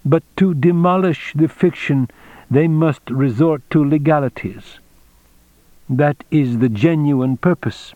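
A man voicing an elderly Japanese general in an audiobook, fatherly and shrewd, with formal, measured phrasing. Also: a little high, warm tone, no room sound, lo-fi sound, voice pushed up. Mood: explanatory, composed.

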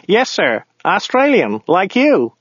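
A man voicing a dim, chatty male limousine driver with a high, whiny, nasal voice in an audiobook story. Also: huge pitch swings, a bit bright, husky, dry recording, highs cut off, exaggerated voice. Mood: insistent, clueless. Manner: persistently chatty, slow on the uptake.

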